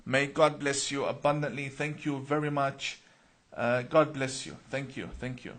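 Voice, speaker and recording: A man's voice: medium pitch, a little slow, a bit bright. A man from Iraq hosting a live question-and-answer stream, reading viewer comments aloud and answering them earnestly, with many uh's and repeated words. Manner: reads viewer names then answers, with frequent uh and repeated words.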